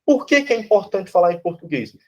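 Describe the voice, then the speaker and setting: Brazilian prof guy, kinda high voice, chatty blunt lecture, keeps saying né. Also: very animated, a little fast, a little mellow. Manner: conversational, tags lines with "né?" and "tá certo?"; blunt, casually swears. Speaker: philosophy professor. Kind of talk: informal lecture.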